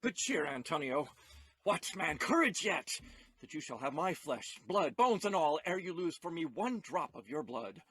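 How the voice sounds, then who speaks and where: Man playing a bright, high-voiced passionate young gentleman. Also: very animated, normal speed, husky, heavily acted. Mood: encouraging. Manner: impassioned, earnest. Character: passionate, loyal young gentleman pleading for his friend. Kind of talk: audio play Shakespeare acting.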